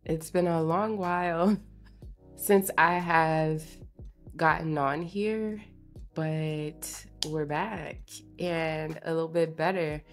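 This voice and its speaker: Congested, low-pitched young woman podcasting. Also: fairly even intonation, slow, faint music behind. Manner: informal, confiding, slangy. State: congested from allergies. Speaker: podcast host. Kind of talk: casual chatty solo podcast. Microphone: podcast microphone at a desk.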